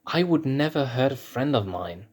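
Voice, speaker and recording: A man's voice: mid-range voice, lively intonation, normal speed, darkish tone, faint music behind, pitched above the performer's own voice, close to an everyday voice. A man voicing a sly, teasing young male lion cub. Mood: annoyed.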